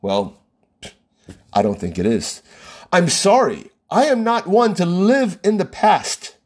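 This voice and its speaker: An American man with a slightly husky voice holds forth on his own podcast. His pitch sits a bit high and swings widely as he presses his points. He keeps checking in with a rhetorical "right?". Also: sing-song, takes it slowly, a little mellow. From United States. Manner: emphatic, rhetorical, frequent "right?" asides. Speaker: podcast host. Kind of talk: opinionated solo podcast monologue.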